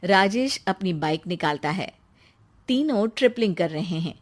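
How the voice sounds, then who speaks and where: A woman narrating a scripted cartoon story in a plain, steady way. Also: on the low side, normal speed.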